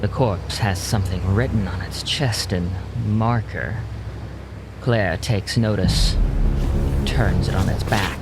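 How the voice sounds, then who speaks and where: A man narrating an audio drama in a deliberate, suspenseful scripted storytelling style. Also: sits low, over loud music.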